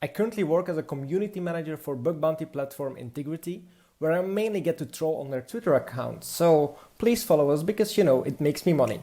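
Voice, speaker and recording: A young man with a Belgian accent giving a prepared conference talk, explaining at an easy pace and filling pauses with "uh". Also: on the high side. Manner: relaxed explanatory delivery with frequent "uh" fillers. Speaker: conference speaker.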